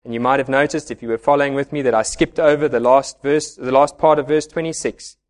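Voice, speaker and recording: A man's voice: medium pitch, steady tone, quick pace. A man preaching a sermon in a church, earnest and pleading as he explains scripture. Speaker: pastor.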